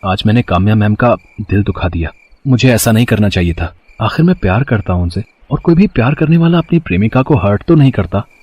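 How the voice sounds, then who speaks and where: A man's voice: on the low side, lively intonation, fairly quick, breathy edge, dull audio. A man voicing a lovesick young student, earnest and hesitant. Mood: brooding. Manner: earnest, pleading, hesitant with frequent "uh" starts. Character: young male student infatuated with his teacher. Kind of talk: Hindi audio story acting.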